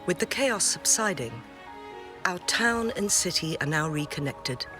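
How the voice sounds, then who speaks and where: Courteous, composed town official. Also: female voice, on the low side, lively intonation, music in the background. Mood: polite, grateful. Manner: polite, formal, measured. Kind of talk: video game character dialogue.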